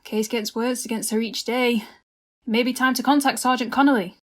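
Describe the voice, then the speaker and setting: A woman playing a brisk, wry detective questioning suspects in an amateur comedy murder mystery. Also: a little high, fairly even intonation, close to an everyday voice. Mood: brisk, probing.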